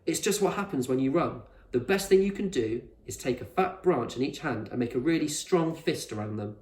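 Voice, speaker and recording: A man's voice: medium pitch, animated, fairly quick, darkish tone, far from the mic, natural voice. Storybook girl adventurer, voiced by a man: businesslike, kind, encouraging, roomy echo. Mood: reassuring. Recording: echoey room.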